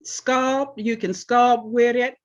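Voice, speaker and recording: A woman in her seventies, originally from Oklahoma, answering interview questions about her life in an unhurried way with frequent pauses. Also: fairly high pitch, fairly even intonation, muffled recording. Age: 70s. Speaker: interview guest, artist.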